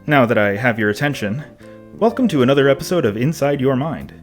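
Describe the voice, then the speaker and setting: A man's voice: mid-range voice, very animated, fairly quick, soft background music, lightly acted. An actor playing a pensive, rambling male podcast host in a conversational scripted audio monologue.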